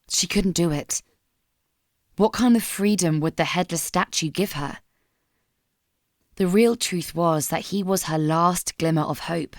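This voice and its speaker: A woman narrator reads dramatic fiction in a somber, measured way. Her voice sits a little low, and the recording is dry and close to room-free. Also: dry recording. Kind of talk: dramatic scripted story narration.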